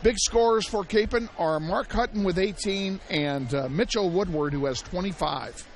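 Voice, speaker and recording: A man calling a high school basketball game play-by-play, hoarse and losing his voice, shouting the big plays and chatting between them. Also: moderate pace. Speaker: play-by-play announcer. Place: high school gym broadcast. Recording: loud gym crowd and noise behind.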